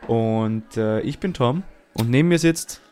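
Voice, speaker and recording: A man's voice: medium pitch, unhurried, dark tone, smooth. A man from Vienna with a Viennese accent, talking casually as a podcast co-host. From Vienna, Austria.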